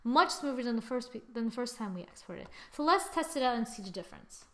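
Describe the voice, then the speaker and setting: A young adult woman giving a casual software tutorial, with a playful, exclaiming manner and little sing-song asides. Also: fairly high pitch, very animated, quick pace. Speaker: tutorial presenter. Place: screen-recorded voice-over.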